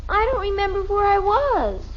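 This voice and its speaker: A dutiful, plaintive schoolgirl for vintage radio; tiny high voice, animated childlike recitation, dull recording. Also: moderate pace. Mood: earnest, plaintive. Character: earnest nine-year-old schoolgirl, dutiful but stubborn. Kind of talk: 1950s radio family comedy acting. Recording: muffled old radio recording, faint noise.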